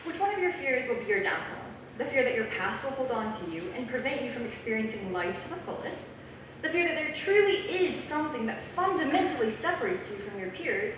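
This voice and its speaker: A woman's voice: a little high, sing-song, brisk. A woman playing a taunting, ominous inner voice of fear in a staged script reading in a theater. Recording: echoey theater, distant mic, clear noise.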